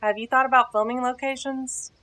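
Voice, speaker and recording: A woman's voice: high voice, fairly even intonation, fairly quick, bright, forceful tone. A woman playing a blunt, bossy teenage girl in an audio drama, speaking in a brusque, slangy, impatient way. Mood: scolding, bossy.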